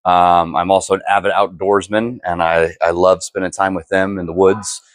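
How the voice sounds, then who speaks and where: A man on a school staff, a dad, talking about himself in an easygoing, relaxed way. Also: low voice, normal speed, no echo.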